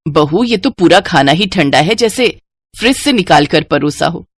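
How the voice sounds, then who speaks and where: A woman voicing a gentle, accommodating older mother-in-law in a moral story, mild and yielding. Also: fairly low pitch, lively intonation, normal speed, husky, no room sound. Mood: mild concern. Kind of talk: acted dialogue in a moral story.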